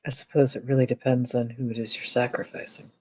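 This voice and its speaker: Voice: medium pitch, little pitch movement, quick pace, a bit bright, slightly rough, no room sound, poor audio. A player voicing a wary woman investigator, speaking firmly and dryly in a tabletop game. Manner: firm, dry and warning. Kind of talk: playing a character in a tabletop game.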